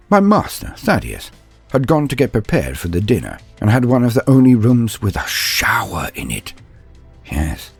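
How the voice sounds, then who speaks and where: A man acts out an earnest, bulky young warrior-psyker telling his own story. His voice is low and husky, with dramatic swells and drops and solemn, formal, deliberate phrasing. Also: darkish tone, exaggerated voice. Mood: measured. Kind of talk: dramatic first-person story narration.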